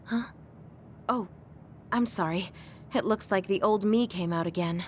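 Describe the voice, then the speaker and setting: An actress playing a gentle, polite and self-effacing young woman in a video game. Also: mid-range voice, a little slow, a little hiss or hum, natural voice. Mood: thoughtful, reassuring. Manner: polite, apologetic.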